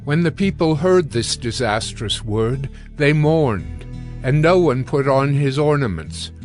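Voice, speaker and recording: Casting: male narrator for dramatized scripture, mid-pitched, storytelling delivery with music underneath. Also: lively intonation, measured pace. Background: cinematic music.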